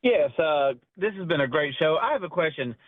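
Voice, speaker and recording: A man's voice: fairly high pitch, expressive, fairly quick, dry recording. A man calling in politely with a question for the hosts. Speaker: caller. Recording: dry tinny phone line.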